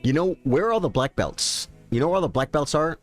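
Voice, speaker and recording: A man's voice: fairly high pitch, lively intonation, a little fast, soft background music. A man in his late fifties, a chess streamer chatting casually and folksily with his viewers. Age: 50s. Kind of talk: casual live-stream chat.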